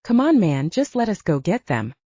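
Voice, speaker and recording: A woman's voice: mid-range voice, big pitch swings, moderate pace, warm tone, husky, no echo, muffled recording. Woman doing a brash, exasperated male werewolf soldier. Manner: slangy, exasperated. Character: brash, needling male werewolf soldier, loyal to his team. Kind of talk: audiobook character dialogue.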